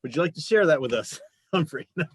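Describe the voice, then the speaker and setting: Higher-voiced man hosting, jokey. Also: expressive, fairly quick, a little hiss or hum. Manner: jokey, chatty. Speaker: talk show host. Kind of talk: casual interview chat.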